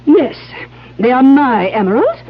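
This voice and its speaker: Haughty, high-voiced lady of means. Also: big pitch swings, unhurried. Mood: imperious, composed. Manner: commanding, haughty. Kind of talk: 1970s radio drama acting. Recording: muffled 1970s radio recording.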